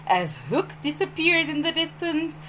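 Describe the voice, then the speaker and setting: Very animated woman reading a storybook. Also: very high voice, unhurried, slight background noise. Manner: dramatic, expressive emphasis. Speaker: storyteller, teacher. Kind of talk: scripted children's storybook narration.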